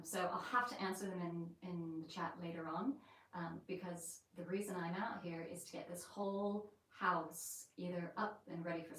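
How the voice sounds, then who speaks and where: A woman chats loosely on a live stream, her American accent tinged with Yorkshire. Her voice sits a bit low and comes from some distance from the mic. The room around her rings with a heavy echo. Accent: American mixed with light Yorkshire. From England and the United States. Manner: chatty and rambling, with many fillers. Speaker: vlogger hosting a live stream. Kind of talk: casual live-stream chat. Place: indoors in an old house.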